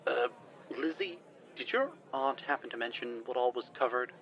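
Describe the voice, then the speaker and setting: A man acting a smooth-talking team member in an audio drama, putting on formality and stumbling as he bluffs. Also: medium pitch, moderate pace, highs cut off, natural voice. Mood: formal bluffing.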